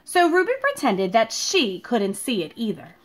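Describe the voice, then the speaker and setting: A woman teacher speaking to young children in an expressive, deliberate read-aloud style, recorded at home in a living room. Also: on the high side, a little hiss or hum. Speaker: elementary school teacher reading a picture book aloud.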